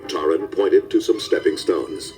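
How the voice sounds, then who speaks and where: A man's voice: sits low, unhurried, over music. A man giving scripted narration of a children's storybook as narrator.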